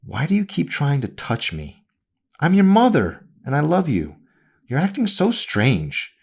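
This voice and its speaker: A man's voice: on the high side, wildly swooping pitch, unhurried, vocal fry, darkish tone, breathy edge, pitched above the performer's own voice, heavily acted. A man voicing an anxious, critical mother in an audiobook, fretful and prying in manner. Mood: hurt, insistent. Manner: fretful, prying, over-earnest. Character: Amy, anxious, critical, prodding mother of a teenage girl.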